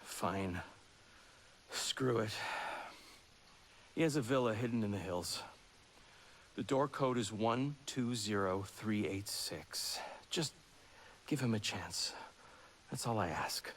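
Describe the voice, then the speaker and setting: Tired loyal buddy in a game scene, talks slow, bit of hiss. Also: male voice, fairly low pitch, a bit bright. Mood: reluctant. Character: weary male friend pleading for a comrade. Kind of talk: video game cutscene acting.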